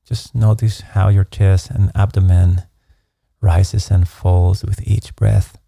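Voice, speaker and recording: A man narrating a guided meditation, giving calm, measured instructions in an unhurried way. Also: low-pitched, gravelly, dry recording, studio quality. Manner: slow, calm, measured instruction with soft pacing.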